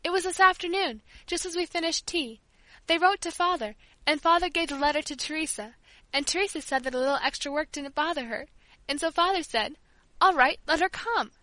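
A woman voicing an impulsive, excitable young girl in a storybook reading, talking eagerly and pleading. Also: high-pitched, brisk, no room sound, rough recording. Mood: excited. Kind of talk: audiobook character voice.

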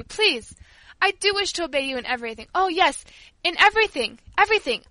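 A woman's voice: high voice, fairly bright tone, no echo. A woman voicing a devout, earnest young country girl in an audiobook, polite, emotional and pleading. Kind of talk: audiobook character voice.

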